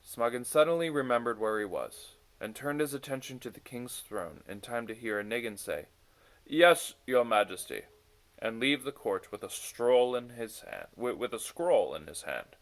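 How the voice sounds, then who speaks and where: A man with a mid-pitched voice reads a children's bedtime story. His delivery is expressive, with lively swings in pitch as he narrates. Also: normal speed, hardly any pauses, poor audio. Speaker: story reader.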